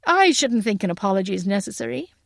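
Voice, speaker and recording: A woman voicing a polite, relaxed American countryman with gentle amusement, in a narrated story. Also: medium pitch, fast talker, creaky, no echo, clean studio sound. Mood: relaxed, amused.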